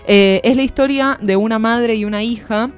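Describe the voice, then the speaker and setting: A woman's voice: on the high side, normal speed, clear, resonant tone. A woman with an Argentine accent giving an informal, explanatory book review as a columnist on a radio show. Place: radio studio. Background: music.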